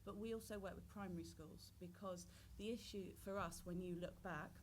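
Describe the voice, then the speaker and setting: A woman's voice: mid-range voice, moderate pace, a little hiss or hum. A woman from a university on a panel, explaining her outreach work in long, fluent sentences. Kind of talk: panel discussion.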